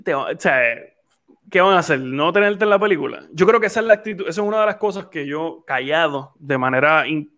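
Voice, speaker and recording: A man's voice: fairly high pitch, expressive, a little fast, fairly bright tone. Chatty Puerto Rican man in his twenties. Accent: Puerto Rican Spanish. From Puerto Rico. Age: 20s. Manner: rambling, frequent restarts and fillers. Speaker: podcast host. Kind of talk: casual chat.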